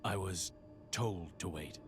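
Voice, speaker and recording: A man's voice: low voice, slow, faint music behind. A man playing a weary, aloof warrior in a video game cutscene, terse, solemn and subdued. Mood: resigned resolve. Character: weary, aloof male warrior with quiet resolve.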